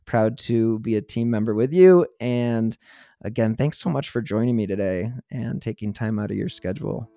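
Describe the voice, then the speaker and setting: A man's voice: fairly low pitch, normal speed, mellow, no room sound, studio quality. A man hosting a podcast, talking in a conversational interviewing style. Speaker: podcast host. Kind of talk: conversational interview and show sign-off.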